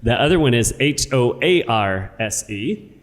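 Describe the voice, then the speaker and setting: A man from the United States with an American accent, co-hosting a casual podcast and explaining words and events in a playful, clear way. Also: medium pitch, animated, measured pace. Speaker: co-host, English teacher. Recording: reverberant room.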